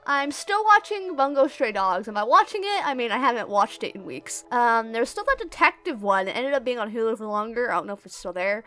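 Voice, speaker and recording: Woman, high-pitched voice, casual Q&A chatter, giggly, rambling, self-deprecating, faint music underneath. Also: lively intonation, fairly quick, hardly any pauses, bright, forceful tone. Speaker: YouTube creator and amateur comic dub voice actor. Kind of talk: casual chatty Q&A, reading out questions and answering. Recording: music playing behind the voice. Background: background music.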